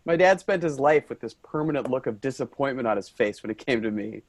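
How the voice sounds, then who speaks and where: A man's voice: mid-range voice, expressive, fast talker, no echo. A man playing an earnest, rambling office worker, tipsy and brooding, in a staged play reading. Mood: wistful, tipsy.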